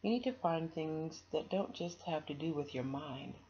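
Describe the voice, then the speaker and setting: A woman voicing a concerned mother in a children's storybook, gentle and instructive. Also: low-pitched, animated, moderate pace, breathy edge, heavily acted. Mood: advising. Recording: dull, noisy home recording.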